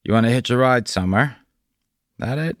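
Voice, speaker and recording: A man's voice: lowish voice, fairly even intonation, a little fast, no echo, studio quality, voice pushed up, natural voice. A man voicing Doug, a rattled man who talks in a raised, jittery voice.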